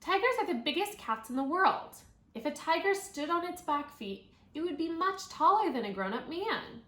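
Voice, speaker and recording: A woman teacher reading a children's nonfiction book aloud, thinking out loud and guiding young listeners as she goes. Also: very high voice, big pitch swings, moderate pace. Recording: roomy echo, audible background noise.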